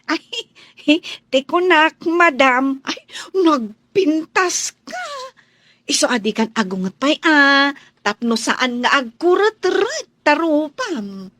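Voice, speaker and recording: A woman acting in a radio drama as a chatty, cheeky young housemaid with a playful, drawn-out way of talking. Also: high-pitched, very animated, pushed and bright, no echo, exaggerated voice. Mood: playful, coaxing.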